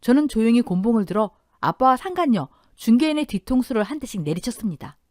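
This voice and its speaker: Woman narrating a dramatic story, lively pitch. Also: quick pace, dry recording, studio quality. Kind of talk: first-person scripted story narration.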